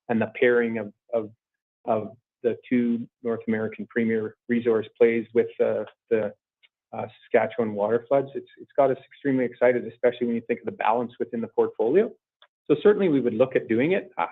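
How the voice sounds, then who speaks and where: A man answering questions as a company executive on an earnings call, talking in measured business jargon and repeating words. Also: lowish voice. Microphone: conference call line. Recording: thin, phone-like conference call line.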